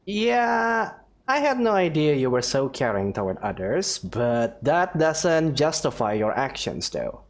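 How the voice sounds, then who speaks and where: A man's voice: fairly high pitch, big pitch swings, unhurried. A man playing a game on stream, reading dialogue and commenting in a dry, sarcastic way. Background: music.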